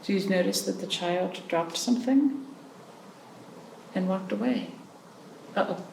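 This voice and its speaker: A woman hosting a storytime for young children, reading expressively with drawn-out phrases and pausing to put questions to her listeners. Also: fairly low pitch, creaky. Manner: prompting listeners with questions and pauses. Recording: roomy, distant mic, faint noise.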